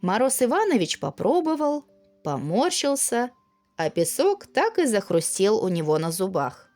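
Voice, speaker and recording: A woman narrating a children's fairy tale in an expressive, singsong storytelling manner. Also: mid-range voice, normal speed. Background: music.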